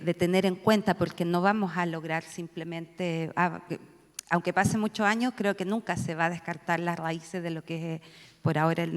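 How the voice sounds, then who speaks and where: A woman's voice: mid-range voice, a little mellow. A Chilean woman speaking as a panelist and policy analyst, offering thoughtful, searching remarks with frequent filler sounds. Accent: Chilean Spanish. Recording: long reverb from a hall.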